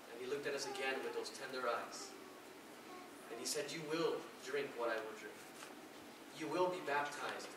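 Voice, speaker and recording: A man playing an earnest, humble disciple of Jesus in a live stage monologue, telling his story deliberately with pauses. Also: mid-range voice, close to an everyday voice. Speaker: actor in a church drama. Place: large echoey indoor space, mic at a distance. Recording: very echoey hall, distant mic, hissy noise.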